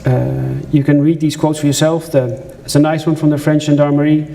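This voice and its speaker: A man's voice: on the high side, level tone, brisk, gravelly, mellow, slight background noise. A man with a Dutch accent giving a conversational conference presentation, with asides to the audience and frequent restarts. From Netherlands. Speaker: conference speaker. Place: lecture room at a conference.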